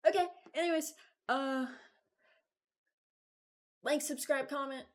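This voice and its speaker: A woman's voice: sits high, takes it slowly, slight background noise. A woman in her early twenties giving casual, unscripted commentary as a gaming video creator, rambling and thinking aloud.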